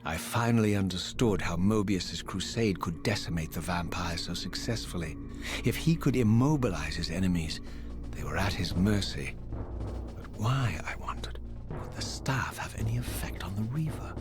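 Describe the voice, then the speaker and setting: Brooding undead wraith, low voice, theatrical game narration, formal, vengeful, loud music behind. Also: male voice, lively intonation, moderate pace. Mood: pondering. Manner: solemn, formal, eloquent. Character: brooding undead male wraith, former vampire and priest, vengeful. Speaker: narrator and protagonist. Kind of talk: theatrical first-person scripted narration.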